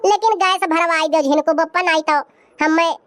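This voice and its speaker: A performer voicing a sharp-tongued, nagging village wife with an Awadhi accent in a cartoon comedy. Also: very high voice, normal speed, no room sound. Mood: insistent. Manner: scolding, blunt, sarcastic.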